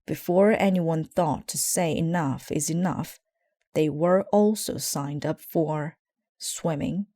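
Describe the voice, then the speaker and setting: A woman narrates a children's storybook at an unhurried pace. Her voice sits a little low and mellow, with a close, dry sound. The delivery is measured and deliberate. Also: no echo. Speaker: storybook reader. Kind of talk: scripted children's story narration, read aloud.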